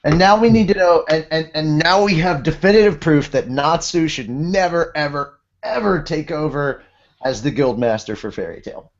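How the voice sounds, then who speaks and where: A man's voice: fairly high pitch, normal speed. A man co-hosting a podcast in casual banter, rambling and repeating phrases for emphasis. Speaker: podcast co-host. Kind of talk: casual banter about anime. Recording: dull lo-fi audio.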